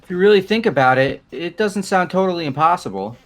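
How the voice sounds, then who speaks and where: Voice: mid-range voice, animated, a little fast, faint music behind, lightly acted. A performer playing a blunt, jumpy young student in a fan-voiced game, with informal, exasperated delivery. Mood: grumbling.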